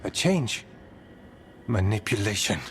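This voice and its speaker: An actor playing a gentle, thoughtful warden scholar in a fantasy video game, speaking in a hesitant, mild way. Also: male voice, fairly low pitch, lively intonation, slow pace. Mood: troubled, pensive.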